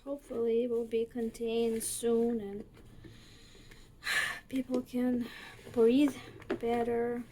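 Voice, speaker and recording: A young woman talks through a cooking tutorial at an unhurried pace, her voice a little raspy from smoke in the air. She is chatty and fills her sentences with fillers. Her pitch sits fairly high, with a faint hiss of noise under the recording. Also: flat delivery, darkish tone, low-quality recording. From northern United States. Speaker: cooking video host.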